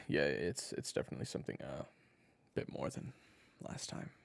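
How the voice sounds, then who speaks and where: A man playing a weary, sardonic assassin with a gravelly voice and halting delivery in an audio drama. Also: very deep voice, lively intonation, dry recording, exaggerated voice. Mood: anxious, resolute. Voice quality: gravelly, raspy. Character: weary gravel-voiced male assassin desperate to protect his family. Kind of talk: audio roleplay drama acting.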